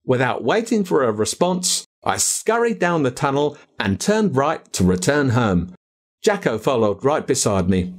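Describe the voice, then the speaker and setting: A man with an Australian accent reading a spooky children's story aloud in a dramatic, drawn-out way. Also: medium pitch, big pitch swings.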